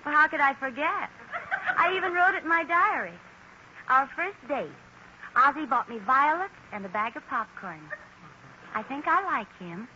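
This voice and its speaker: A woman's voice: sits high, lively intonation, normal speed, sharp tone, muffled recording, a little hiss or hum, exaggerated voice. A woman acting a wry, level-headed suburban wife in 1940s radio sitcom style, on an old broadcast recording. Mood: fond.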